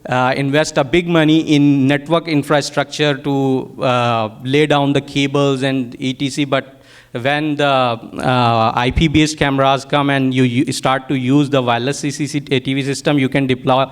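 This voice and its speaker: Pakistani guy, urdu native, smooth clear voice, doing a tech workshop talk, kinda halting and hesitant. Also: medium pitch, little pitch movement. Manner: halting, hesitant, searching for words in non-native English. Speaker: technical product manager presenting a workshop. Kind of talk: technical conference presentation.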